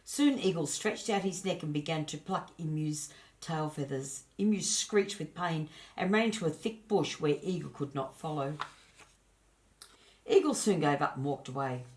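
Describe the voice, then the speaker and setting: Female storyteller for children's narration, low register, expressive and deliberate scripted read.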